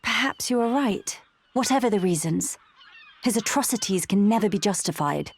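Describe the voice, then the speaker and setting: A woman's voice: medium pitch, expressive, moderate pace, slightly rough. A woman voicing a dignified, solemn young noblewoman in a video game, formal and composed. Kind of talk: dramatic video game voice acting.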